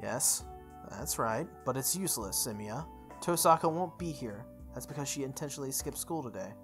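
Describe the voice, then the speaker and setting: A prim male student in a visual novel, read by a woman talks in a low, dry register. He is judgmental and pedantic, scolding as he goes. Music sits faintly behind the voice. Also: lively intonation, moderate pace.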